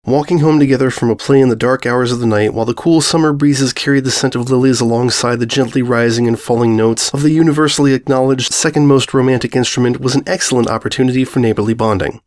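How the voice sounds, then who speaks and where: A man's voice: medium pitch, steady tone, fast talker, hardly any pauses, a little mellow. A man reading a scripted story aloud as its narrator.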